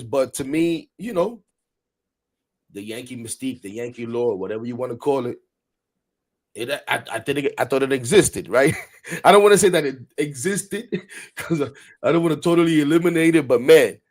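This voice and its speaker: A man in his forties hosting a casual sports talk show, animated and rambling, repeating words and laughing often. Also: mid-range voice. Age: 40s. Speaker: podcast host.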